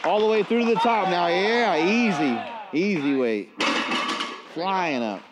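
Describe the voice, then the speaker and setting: A man's voice: high voice, animated, unhurried. A man co-hosting a gym seminar, coaching lifters with casual, jocular encouragement. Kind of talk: casual banter and lifting encouragement. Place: large indoor gym with audience. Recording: noisy gym crowd, distant camera.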